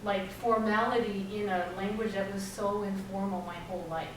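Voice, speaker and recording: A woman's voice: medium pitch, steady tone, moderate pace, background noise. A woman whose first language is Tagalog, moderating a panel and telling a reflective story, often saying "you know". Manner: thoughtful, with frequent "you know". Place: large echoey room. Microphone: distant room mic. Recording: echoey hall recorded from far off.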